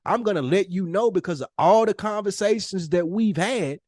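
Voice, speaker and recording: A man's voice: high voice, normal speed, darkish tone, no room sound, clean studio sound. A man co-hosting a podcast in casual, candid conversation, speaking earnestly and emphatically with plenty of swearing. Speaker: podcast co-host.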